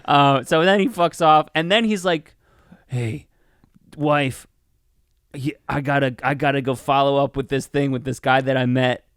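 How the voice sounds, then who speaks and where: A man's voice: mid-range voice, measured pace, fairly bright tone, clear, resonant tone, no echo, clean studio sound. A man co-hosting a casual film review podcast, rambling and swearing as he acts out mock bits of dialogue. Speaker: podcast co-host.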